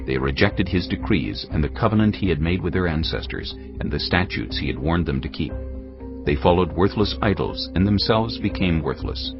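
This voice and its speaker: A man narrating dramatised scripture from a script in a grave, measured, dramatic manner. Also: deep voice, lively intonation. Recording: dull audio, music underneath.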